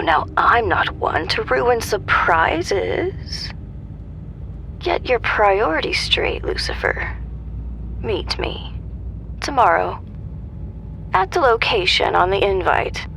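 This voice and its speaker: An actress playing a taunting, menacing female villain, with drawn-out phrases that sink into breathy near-whispers in an audio drama. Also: medium pitch, fairly bright tone, slight background noise, exaggerated voice, poor audio. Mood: gloating, teasing.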